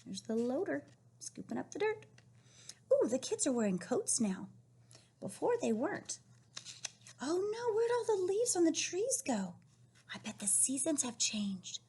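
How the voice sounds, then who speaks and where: A woman reading a picture book aloud to young children, encouraging and questioning them in an animated way with a husky, breathy voice. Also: high-pitched, a little slow, long pauses. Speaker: read-aloud storyteller teaching young children.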